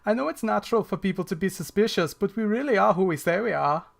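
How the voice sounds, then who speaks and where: Man doing a Swedish-accented game character, put-on accent, halting reading, raised pitch. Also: lively intonation, vocal fry. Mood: reassuring. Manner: halting read-out impression, higher pitched.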